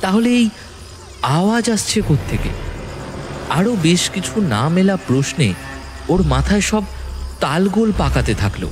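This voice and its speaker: A man narrating a scripted horror story in a dramatic, suspenseful manner with deliberate pauses. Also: sits high, very animated, background noise. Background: background music and sound effects.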